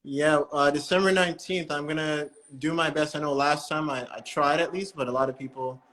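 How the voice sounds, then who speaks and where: A man hosting a breathwork live stream, chatting in a relaxed, friendly way with callers and often correcting himself mid-sentence. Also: fairly high pitch, brisk, rough recording.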